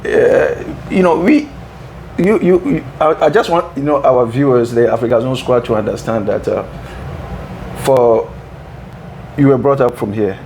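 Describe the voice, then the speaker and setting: A man from Ghana hosts an interview in a mid-pitched voice. He speaks earnestly, stumbling over repeated words as he builds his questions, and fills the gaps with affirming murmurs. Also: measured pace, long pauses, a little mellow, a little hiss or hum, rough recording. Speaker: interview host. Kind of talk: conversational interview.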